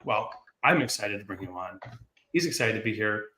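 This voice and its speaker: A man's voice: on the low side, animated, normal speed, sharp tone, reverberant. A man in his late thirties hosting a show over a video call, joking and upbeat. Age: 30s. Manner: jokey, upbeat, self-deprecating. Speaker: host. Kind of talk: casual video-call chat.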